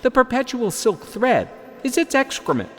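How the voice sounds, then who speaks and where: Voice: mid-range voice, sing-song, moderate pace, fairly bright tone. A performer playing a male travelling thread merchant in a comic audio drama, sly, nitpicking, hesitant and fussy. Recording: reverberant hall with music underneath.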